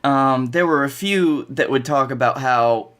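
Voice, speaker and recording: A man's voice: medium pitch, a little slow, a bit bright. A man in his twenties with an American accent, chatting casually as a podcast co-host. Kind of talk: casual podcast banter about wrestling. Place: bedroom, indoors.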